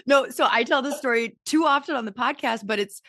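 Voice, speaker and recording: A woman's voice: high-pitched, brisk, fairly bright tone, dry recording, clean studio sound. Chatty thirties woman podcast host. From Southern California. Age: 30s. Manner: chatty, laughs often, filler-heavy. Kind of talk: casual conversational interview.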